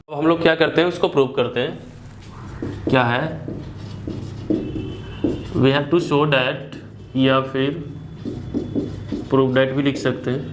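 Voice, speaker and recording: An Indian man teaching a maths lesson, mixing Hindi with slowly dictated English notation and often restarting phrases. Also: mid-range voice, fairly even intonation, noisy background.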